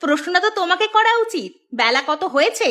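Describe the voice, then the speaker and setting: A woman plays an exasperated village housewife in an audio story. Her voice is high and sharp-tongued. She scolds and nags like a worn-out mother running the house. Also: moderate pace.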